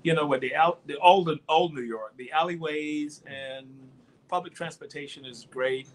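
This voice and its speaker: A man's voice: a little high, moderate pace, rough recording. A man speaking as a guest on a talk show in a casual, conversational style.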